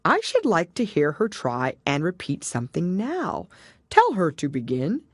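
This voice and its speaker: A mournful old turtle creature from a children's storybook, acted out by a woman. He drags his words out slowly and ponderously in a low register, sighing and a little offended. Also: wildly swooping pitch, slightly rough, no echo, clean studio sound, exaggerated voice. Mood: thoughtful, gloomy. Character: slow, mournful, easily offended old male Mock Turtle. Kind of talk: children's storybook character reading.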